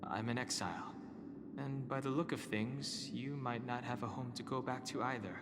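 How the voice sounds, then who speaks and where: A man playing a reserved, quietly reassuring exiled traveller in a video game, restrained and understated. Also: mid-range voice, moderate pace, close to an everyday voice. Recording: reverberant, with clear noisy ambience.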